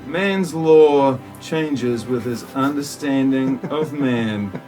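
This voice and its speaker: A man's voice: medium pitch, takes it slowly, darkish tone, soft background music, close to an everyday voice. A man playing a solemn, pretentious desert mystic in an amateur parody audio play, speaking in a stilted, ponderous way. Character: solemn, pretentious male desert mystic spouting muddled wisdom.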